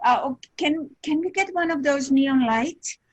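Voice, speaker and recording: A woman playing an innocent, eager little American girl with a halting, childlike delivery in a 1950s-style radio sitcom. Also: high-pitched.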